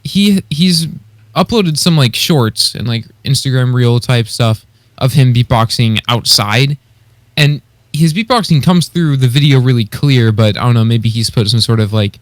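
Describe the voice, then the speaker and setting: A man's voice: medium pitch, normal speed, no echo. A man co-hosting a podcast in casual conversation, agreeable and a little hesitant in how he talks. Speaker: podcast co-host. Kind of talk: casual podcast discussion of beatboxing competitors.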